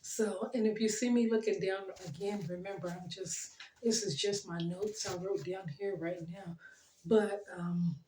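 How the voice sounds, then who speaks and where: A middle-aged woman talking earnestly to her viewers, her voice worn and fading, pausing often. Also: medium pitch, fairly even intonation, echoey room, slight background noise, rough recording. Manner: earnest, gentle, pauses with ums and soft laughs. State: losing her voice. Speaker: channel host. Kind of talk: heartfelt motivational talk to camera.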